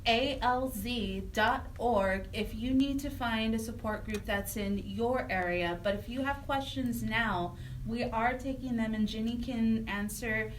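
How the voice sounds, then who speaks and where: A woman's voice: fairly high pitch, unhurried, distant mic, slight background noise, poor audio. A woman co-hosting a talk radio show. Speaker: radio co-host.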